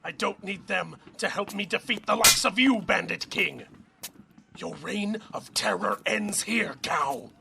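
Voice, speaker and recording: An actor playing a resolute, weary army captain in an audio drama, speaking in an earnest, halting, strained way. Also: male voice, high-pitched, vocal fry, heavy background noise, full character voice. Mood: defiant.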